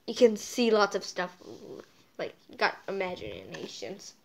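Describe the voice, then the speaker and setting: A woman hosting a casual storytime read-aloud, drifting off into playful, whimsical asides as she goes. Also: on the high side.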